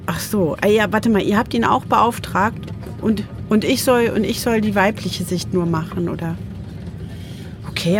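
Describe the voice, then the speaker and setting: An actress playing a self-doubting radio journalist, talking to herself in a naturalistic, tentative way. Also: female voice, mid-range voice, noisy background, close to an everyday voice. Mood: hesitant, questioning. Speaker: radio play protagonist, reporter. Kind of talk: naturalistic radio drama monologue.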